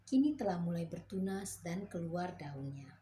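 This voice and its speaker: Female storyteller reading a kids' fable, clear and slow, faint hiss behind. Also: lowish voice, lively intonation, mellow. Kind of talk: reading a children's fairy tale.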